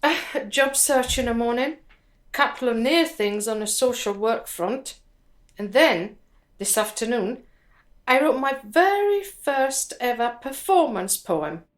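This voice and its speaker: An earnest woman with a fairly high voice, in a radio drama. She is a budding performance poet who speaks slowly and deliberately, weighting her words. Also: animated. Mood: animated, explanatory. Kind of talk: radio drama acting, with recited poetry.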